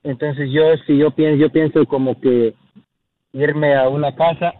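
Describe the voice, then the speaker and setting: A man's voice: a little high, level tone, measured pace, dry recording. A man in his early thirties with a Guatemalan accent, calling in and speaking casually and hesitantly. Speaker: caller. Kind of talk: casual phone conversation. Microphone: phone call. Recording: tinny narrow phone line.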